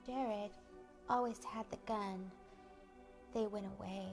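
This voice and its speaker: A gentle alien woman voiced by a woman who lives in a human body and always seems on edge. She speaks in an anxious, earnest way. Faint music plays softly behind her. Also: medium pitch, steady tone, takes it slowly. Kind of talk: reading a novel aloud.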